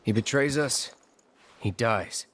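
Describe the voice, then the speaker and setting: An actor playing a cool, decisive young mercenary in a video game, terse and matter-of-fact. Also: male voice, medium pitch, slow pace, a bit bright. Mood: cool, resolute.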